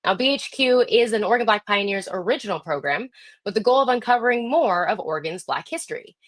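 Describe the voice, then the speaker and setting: A woman's voice: medium pitch, expressive, quick pace, fairly bright tone, no room sound. A woman hosting a live online program, addressing viewers directly in a presenter's introduction style. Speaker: host. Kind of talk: scripted-sounding live show introduction.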